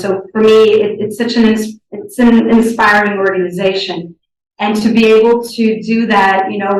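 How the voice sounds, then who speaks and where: A young woman whose first language is Portuguese, answering interview questions deliberately and often pausing to search for words. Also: medium pitch. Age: young adult. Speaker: interviewee, board candidate. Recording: echoey room, distant mic.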